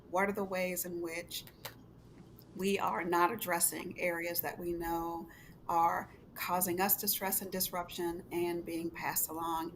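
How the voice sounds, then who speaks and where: A woman giving explanatory panel commentary in measured phrases, drawing out some words. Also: fairly low pitch. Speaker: expert panelist with doctorate. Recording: video call with faint background noise.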